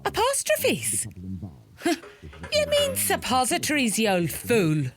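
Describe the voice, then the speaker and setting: An actress playing a teasing, sharp-tongued middle-aged widowed mother in a radio play, with a Donegal Irish accent. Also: high-pitched, huge pitch swings, slow pace, crowd noise, exaggerated voice. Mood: scolding, teasing. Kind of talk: comic radio play acting.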